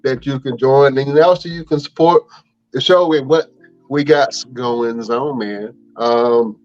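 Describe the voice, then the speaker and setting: Male podcast co-host, relaxed slangy chat, dull lo-fi sound, faint music behind. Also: mid-range voice, a little slow. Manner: laid-back, slangy, plugging the show.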